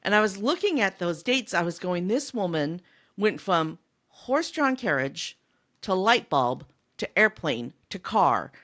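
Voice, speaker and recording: Female podcast co-host for a casual book chat, expressive with very varied pitch. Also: measured pace.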